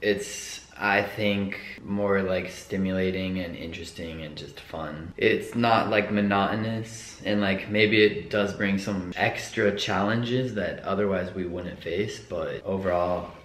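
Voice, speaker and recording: A man's voice: low voice, fairly even intonation, measured pace, hardly any pauses, a bit bright, reverberant, slight background noise. A young American man talking casually and loosely, filling with "like", beside his wife in a vlog. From United States. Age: young adult. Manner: laid-back, lots of filler 'like'. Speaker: vlogger's husband. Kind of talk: casual couple Q&A. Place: living room, sofa.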